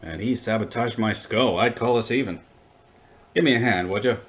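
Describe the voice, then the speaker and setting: A man's voice: mid-range voice, normal speed, natural voice. A man playing a wry, unflappable time-travelling adventurer with a dry, wordy, flippant way of talking, in an audio drama.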